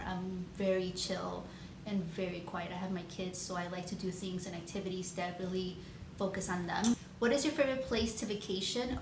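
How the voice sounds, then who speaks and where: A woman's voice: lowish voice, background noise. Chatty woman in her thirties, echoey room. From Chicago, United States. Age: 30s. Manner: chatty, rambling, frequent ums and likes. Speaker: nail artist vlogger. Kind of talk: casual Q&A vlog. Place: home room with shelves, indoors.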